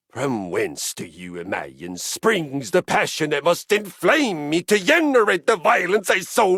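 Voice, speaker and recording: A man's voice: on the low side, vocal fry, fairly bright tone, no echo, clean studio sound, heavily acted. An actor voicing a boisterous, violence-loving male exercise machine with a Viking spirit, in grand, archaic, theatrical speech. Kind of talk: game voice lines. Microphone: close studio mic.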